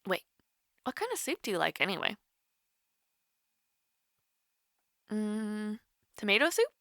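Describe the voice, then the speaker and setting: A woman's voice: mid-range voice, huge pitch swings, moderate pace, dry recording, clean studio sound. A young woman playing a shy, caring college student with a crush in an intimate audio roleplay, hesitant and easily flustered. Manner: hesitant, flustered, soft-hearted, giggly. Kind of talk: intimate romantic audio roleplay, one-sided call.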